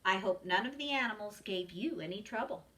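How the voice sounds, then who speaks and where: Woman as a bright, polite shop owner. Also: mid-range voice, expressive, fairly quick, distant mic, lightly acted. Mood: pleased. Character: Mister Palmer, polite, trusting male pet shop owner.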